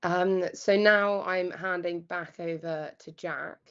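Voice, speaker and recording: A woman's voice: lowish voice, fairly even intonation, takes it slowly, fairly bright tone, clean tone, muffled recording. A woman hosting live news, putting questions to a guest and linking between stories. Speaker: news host.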